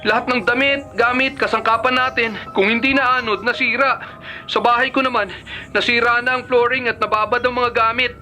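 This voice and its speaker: An actor playing an earnest, troubled younger brother in a Filipino radio drama. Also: male voice, very high voice, steady tone, normal speed, pushed and bright, full character voice. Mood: troubled, weary. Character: earnest younger brother reporting flood disaster. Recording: music behind the dialogue.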